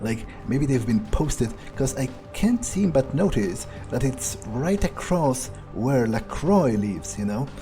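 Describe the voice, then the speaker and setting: A man playing a video game in character, thinking aloud in an unhurried, musing way with trailing pauses. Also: mid-range voice, very animated, warm tone, soft background music. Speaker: gameplay streamer playing in character.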